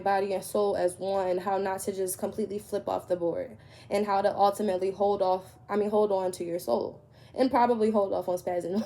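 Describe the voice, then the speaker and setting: A woman's voice: mid-range voice, mellow. A woman tarot reader, congested from a cold, giving conversational spiritual advice to her viewers and checking in with "right?".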